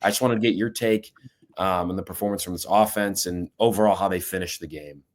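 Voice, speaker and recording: A young man with a low voice hosts a casual sports podcast. He opens the show in a steady, lightly scripted way, tossing in an occasional filler. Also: fairly quick. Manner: steady, scripted-sounding host intro with some fillers. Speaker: podcast host.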